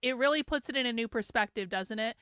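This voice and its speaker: A woman's voice: a little high, fast talker, fairly bright tone, smooth, dry recording, studio quality. A middle-aged woman with a Vermont accent touched with Boston, hosting a live stream and reacting bluntly to a documentary. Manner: blunt, swearing, incredulous. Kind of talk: casual reaction commentary.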